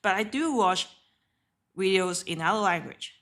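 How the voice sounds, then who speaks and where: A woman whose first language is Cantonese streams her trades live in a bright, clear voice. She keeps it casual and unpolished, swearing offhandedly and laughing between thoughts. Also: mid-range voice, expressive, moderate pace. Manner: chatty and candid, swears offhandedly, often laughs. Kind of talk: casual live trading commentary.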